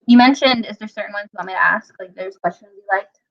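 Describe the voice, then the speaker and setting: A young woman hosting a casual interview, chatty, teasing and quick to laugh. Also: medium pitch, creaky. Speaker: interview host. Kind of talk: casual video-call interview. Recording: muffled lo-fi video call audio.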